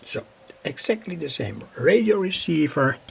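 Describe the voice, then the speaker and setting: A man giving an unscripted technical demonstration as a hobby electronics presenter, halting and explanatory, with a husky, slightly gravelly voice. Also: on the high side, very animated, a little hiss or hum. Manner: halting, explanatory, with frequent pauses and repeated phrasing.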